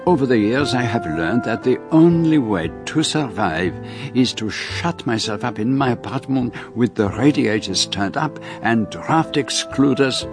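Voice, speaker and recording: A man playing a fussy, courteous middle-aged detective, precise and measured, in a radio drama. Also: mid-range voice, lively intonation, music in the background, lightly acted.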